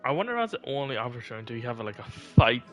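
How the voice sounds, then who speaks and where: A man's voice: mid-range voice, big pitch swings, racing, soft background music. Man musing aloud while streaming a game. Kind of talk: casual live game commentary.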